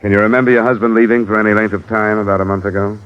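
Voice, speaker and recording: Actor as deep-voiced blunt radio investigator. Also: male voice, steady tone, rapid-fire, lightly acted. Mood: careful, probing. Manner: dry, direct, matter-of-fact. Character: blunt, probing male investigator narrating his case. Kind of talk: 1950s radio detective drama acting and narration. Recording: dull lo-fi old radio broadcast.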